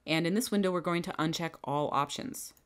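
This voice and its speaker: A woman giving calm, methodical step-by-step instructions as a software trainer in a scripted screen-recording tutorial. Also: deep voice, fairly quick, clear, resonant tone.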